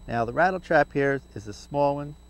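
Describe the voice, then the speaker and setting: Halting middle-aged man on a boat. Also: a little high, lively intonation. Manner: halting, many "uh" fillers. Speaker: fishing show segment host. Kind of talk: casual instructional talk to camera. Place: outdoors, sitting in a boat on a river.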